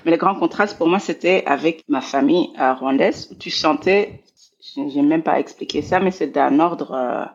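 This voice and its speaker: Belgian woman, 40s, interview guest; husky, gravelly voice, thoughtful and searching, slightly muffled audio. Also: low-pitched, normal speed. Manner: thoughtful, repeats words while searching for phrasing. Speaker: guest, scholar of international relations. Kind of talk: reflective interview talk.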